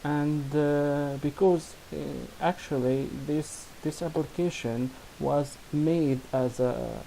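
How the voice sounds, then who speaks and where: A young man from Syria with an Arabic accent, a non-native English speaker, demonstrating his student project in halting speech full of restarts and fillers. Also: a little high, steady tone, mellow, a little hiss or hum, low-quality recording. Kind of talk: screen-recorded software demo.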